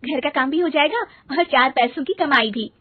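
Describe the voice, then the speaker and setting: A woman's voice: sits high, normal speed, no echo, narrow, tinny audio, pitched above the performer's own voice, natural voice. A woman voicing an eager, anxious young daughter-in-law in a cartoon story.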